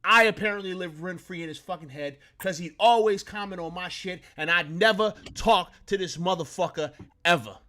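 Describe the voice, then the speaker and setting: High bright-voiced man ranting. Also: normal speed, hardly any pauses. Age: 30s. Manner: profane, boastful ranting. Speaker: sports talk show host. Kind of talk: casual live-stream chat.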